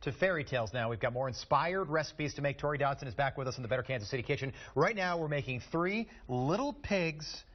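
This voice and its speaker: A male TV host with a lively, varied melody and a somewhat rough-edged voice. He talks quickly in a playful, joking way, and the studio audio is slightly dull. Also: fairly high pitch. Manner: playful, quippy. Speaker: TV show host. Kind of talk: light, joking morning-show chat. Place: TV studio kitchen set.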